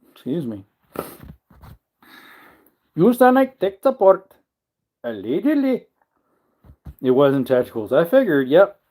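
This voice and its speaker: A man's voice: high voice, sing-song, slow pace, long pauses, mellow. A man hosting a casual livestream, rambling and joking while greeting viewers in the chat. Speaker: livestream host.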